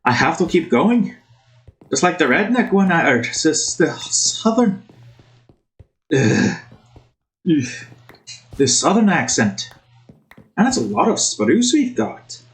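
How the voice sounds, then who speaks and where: Lively high-voiced man, wavering put-on Scottish accent. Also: takes it slowly, long pauses, low-quality recording. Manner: rambling, self-commenting. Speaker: gaming video host. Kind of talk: casual game commentary. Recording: faint background noise.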